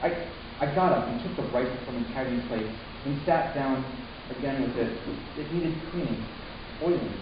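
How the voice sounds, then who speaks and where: A man playing a troubled, resentful human youth in a dramatic first-person story reading, halting and intense with deliberate pauses. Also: medium pitch, natural voice. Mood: brooding, mournful. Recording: distant, very echoey room, muffled, hissy.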